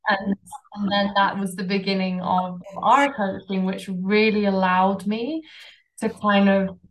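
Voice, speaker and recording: Slow, flat-voiced Scottish woman. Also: medium pitch, low-quality recording. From Scotland. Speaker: podcast guest, coach. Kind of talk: casual podcast chat.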